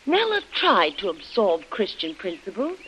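An actress playing a composed, earnest plantation wife in 1930s radio style, speaking in measured phrases. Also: female voice, medium pitch, wildly swooping pitch, fairly bright tone. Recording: dull, noisy old radio recording.

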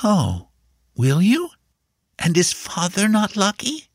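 An earnest young boy played by a male narrator speaks in a raised, high voice. He is timid and questioning, like a child in a literary storybook reading. The sound is dry and close. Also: wildly swooping pitch, slow pace, breathy edge, dry recording, heavily acted.

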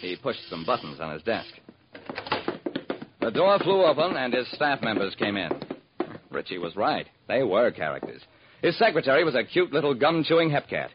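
A man's voice: mid-range voice, sing-song, normal speed, slight background noise, natural voice. An actor playing a wry, hard-boiled private detective, narrating his case in clipped old-time radio style. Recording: muffled vintage radio recording.

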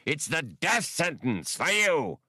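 A menacing male alien officer from a space adventure audiobook, voiced by a man reading. He is stiff, proud and defiant, with a raised pitch that moves sharply in a dry recording. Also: sing-song, takes it slowly, sharp tone, husky, no echo, full character voice. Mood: defiant threat.

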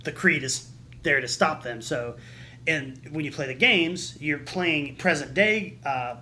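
A man explaining things casually in a group discussion, halting and often repeating his words as he goes. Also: fairly high pitch, bright, forceful tone, a little hiss or hum. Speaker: podcast co-host.

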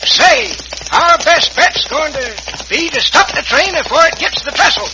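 High-pitched folksy western sheriff. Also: male voice, expressive, moderate pace. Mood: brisk. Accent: American western. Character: folksy, easygoing Old West sheriff. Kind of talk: old-time radio western acting. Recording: hissy vintage radio recording.

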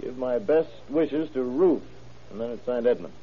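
An actor playing a wry, needling, eager police detective with a folksy, teasing manner in old-time radio crime drama style. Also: male voice, mid-range voice, lively intonation, moderate pace, lightly acted. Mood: deliberate, reading aloud. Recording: tinny, noisy vintage radio recording.

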